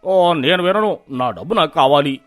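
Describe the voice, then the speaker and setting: A man voicing an excitable, gullible village merchant in a cartoon moral story, exclaiming in an exaggerated way. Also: fairly high pitch, normal speed, soft background music, natural voice. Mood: angry, panicky.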